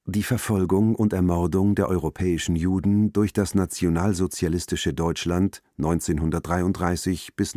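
Deep, slow, sober male announcer. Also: warm tone, no echo, clean studio sound. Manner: sober, formal. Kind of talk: scripted documentary credits announcement. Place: dry studio.

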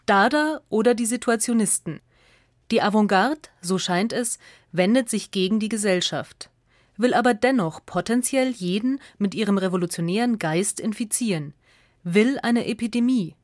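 A woman's voice: medium pitch, normal speed, no room sound. Woman reading a radio essay, dry studio. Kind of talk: scripted essay narration.

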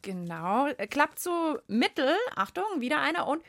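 A cheerful German woman hosts a children's radio program from a studio, teasing her co-host and laughing often. Her voice sits a little high and swings widely in pitch, recorded dry and close. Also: big pitch swings, normal speed, creaky, a bit bright, no room sound. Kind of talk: lively children's radio chat. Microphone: studio microphone.

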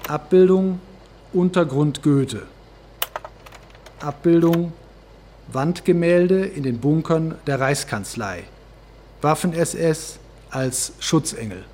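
A man announces captions from a script at a slow pace. His voice is a little high, and faint keyboard typing runs behind it. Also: mellow, slight background noise.

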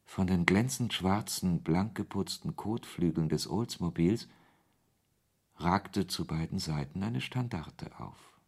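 Really deep husky guy as a writer narrating his own odd story, wry, literary radio play. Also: a little slow, exaggerated voice. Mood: wry narration. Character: male author narrating his absurd encounter, wry and irritated. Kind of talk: scripted first-person radio play narration.

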